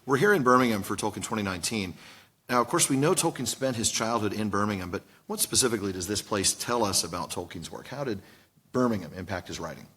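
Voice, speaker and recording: A man with a low, husky voice hosts a live podcast interview. He moves quickly through his questions to the guest. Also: animated, sharp tone. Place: live recording at a conference venue.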